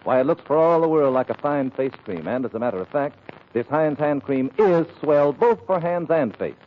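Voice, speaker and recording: Animated male 1940s radio pitchman. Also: moderate pace. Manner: polished, emphatic salesmanship. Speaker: announcer. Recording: dull, hissy vintage radio broadcast.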